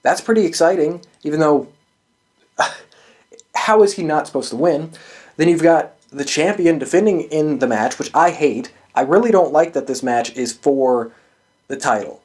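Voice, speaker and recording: A man with a somewhat higher-pitched voice speaks as the host of a commentary show. He is casual and opinionated, talking off the cuff. His delivery is emphatic and freely profane. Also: lively intonation, unhurried, a little mellow. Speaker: host of a wrestling prediction show.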